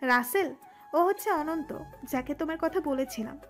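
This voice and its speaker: A woman's voice: sits high, normal speed, a bit bright, soft background music. A woman playing a hot-tempered, jealous young woman in a dramatised romantic audio story. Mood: polite.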